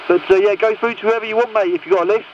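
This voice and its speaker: A man's voice: high-pitched, steady tone, racing. A man chatting casually as he runs a check-in over CB radio. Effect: CB radio transmission. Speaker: CB radio operator running a check-in list. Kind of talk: casual radio net chat. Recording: noisy, muffled CB radio signal.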